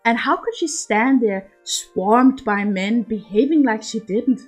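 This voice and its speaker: A woman's voice: fairly high pitch, slow, darkish tone, slightly rough. Woman reading a story, music behind. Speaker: narrator, amateur fiction reader. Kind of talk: scripted story narration.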